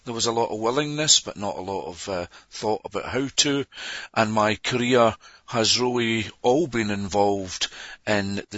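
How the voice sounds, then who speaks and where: A Scottish man with a lowish, bright voice, giving a plain, measured self-introduction as a board candidate. The sound is dry and a little dull, like lo-fi meeting audio. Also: no echo. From Scotland. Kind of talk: spoken introduction at an online meeting.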